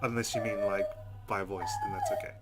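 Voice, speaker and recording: A man playing a game, reading its text aloud dramatically and reacting in a jumpy, expressive way. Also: on the low side, quick pace, very noisy. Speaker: let's player. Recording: loud game sound behind voice. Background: game audio.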